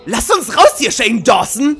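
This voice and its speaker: A man dubbing an excitable, bickering young adventurer in a comedy, with a raspy, exclamatory voice. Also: very high-pitched, a little slow, faint music behind, exaggerated voice. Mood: indignant. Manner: exclamatory, argumentative. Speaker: voice actor in a fan dub. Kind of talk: exaggerated comedy fan dub.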